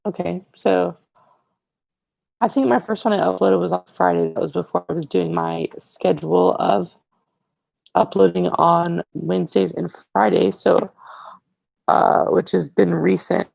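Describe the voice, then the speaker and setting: A teenage boy hosting a casual livestream, rambling and correcting himself as he chats to viewers, his voice raspy today. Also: high-pitched, fairly even intonation, unhurried, a little mellow, no room sound, rough recording. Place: living room, indoors. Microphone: earbud headset mic, webcam.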